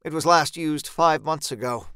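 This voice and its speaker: A man narrates a dark first-person tale with measured tension. His voice is smooth and resonant, sitting a little high for a man. The recording is very dry and close, with every word cleanly shaped. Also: dry recording. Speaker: story narrator. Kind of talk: dramatic first-person horror audiobook narration.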